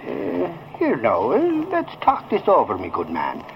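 Comic indignant Irishman: gravelly, wildly swooping pitch, sputtering bluster, old radio drama. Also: wildly swooping pitch, measured pace, heavily acted. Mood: flustered, indignant. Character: blustering, indignant stout man, comic tough. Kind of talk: 1940s radio acting. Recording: dull vintage radio recording with noise.

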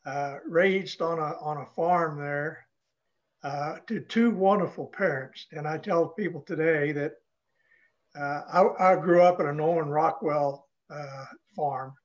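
A man's voice: on the high side, unhurried, dull audio. An older man from New Hampshire, a retired Navy leader, telling stories casually as an interview guest in a folksy, plain-spoken way. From New Hampshire, United States. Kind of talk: casual storytelling.

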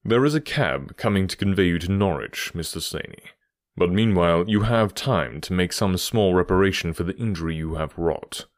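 Guy doing calm bossy detective for an audiobook, very low voice, assured n stern, dry sound. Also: moderate pace, dry recording. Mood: cool, grave. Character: calm, authoritative, stern male English detective.

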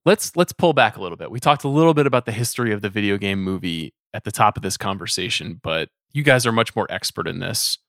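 A man's voice: fairly low pitch, expressive, fast talker, few pauses, a bit bright, no echo, studio quality. A man hosting a casual panel discussion on a podcast. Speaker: podcast host.

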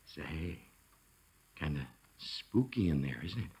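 A man's voice: very deep voice, very animated, takes it slowly, mellow, slightly rough, heavily acted. An American man playing a folksy, wily and cautious police chief in a 1940s radio comedy. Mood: hushed caution. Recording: faintly noisy old radio recording.

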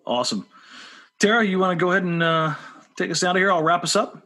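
Quick, higher-voiced man co-hosting a podcast. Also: expressive, sharp tone. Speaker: podcast co-host. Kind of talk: casual podcast chat.

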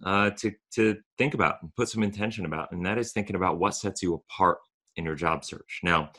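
Man, deep voice, hoarse with a cold, podcast host, earnest coaching talk. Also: fairly quick, pushed and bright. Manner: earnest coaching tone, frequent restarts.

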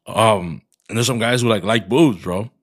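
Congested low-voiced man, bilingual podcast banter. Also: normal speed, husky, no room sound, clean studio sound. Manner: mixes English with Spanish, swears often. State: congested, coughing. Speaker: podcast co-host. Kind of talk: casual podcast chat.

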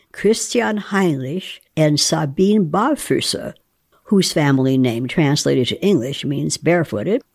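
A woman speaks in a lowish voice with very varied intonation. She reads research dramatically and explains it plainly and emphatically, as an expert guest. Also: gravelly, a little mellow. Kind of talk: dramatic reading and informal explanation.

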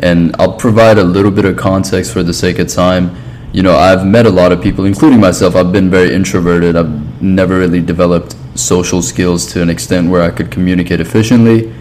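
A man with a low, deep voice talks as a podcast host over faint background noise. His delivery is casual and reflective, with measured, earnest phrasing and an agreeable manner toward his guest. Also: steady tone, a little mellow, low-quality recording. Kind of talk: casual, reflective interview talk.

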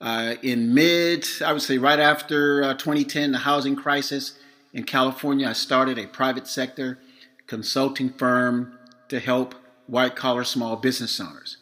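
A middle-aged man from Florida, slightly hoarse, hosting his podcast in a measured monologue read from notes with some fillers. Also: medium pitch, fairly even intonation, bright, forceful tone. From Florida, USA. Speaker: podcast host.

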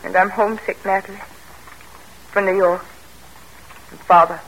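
A woman's voice: medium pitch, little pitch movement, normal speed, breathy edge. An actress playing a spirited, devoted young woman in an old-time American radio drama, speaking earnestly and theatrically. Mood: weary, homesick. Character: spirited, devoted young daughter of an ambitious colonel. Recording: vintage radio broadcast, thin telephone-like tone.

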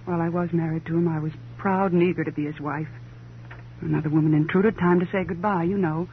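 A woman's voice: on the low side, fairly even intonation, fast, natural voice. An actress playing a wealthy, proud divorced woman in a 1950s radio crime drama, with an arch, sardonic, flippant manner and affected society phrasing. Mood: arch, breezy. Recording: muffled old radio recording, hum and hiss.

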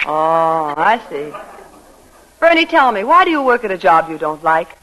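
An actress playing a brisk, confident, ambitious woman executive in an old radio comedy, talking in an assertive, wisecracking way. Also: lowish voice, sing-song, a bit bright, poor audio. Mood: wry, businesslike. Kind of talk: 1940s-50s radio comedy acting. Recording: vintage radio broadcast sound.

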